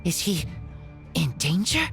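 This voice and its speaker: An earnest wolf-raised teenage boy from a fantasy game. The voice is low and very slow, with halting, simple phrases over music. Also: big pitch swings, full character voice. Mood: worried, earnest. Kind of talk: video game voice acting.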